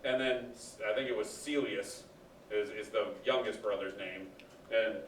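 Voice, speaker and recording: A male teacher with a booming voice, lecturing casually and quizzing his class. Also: steady tone, moderate pace, a little hiss or hum. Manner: loud, booming, conversational explaining. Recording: echoey classroom, far from the mic.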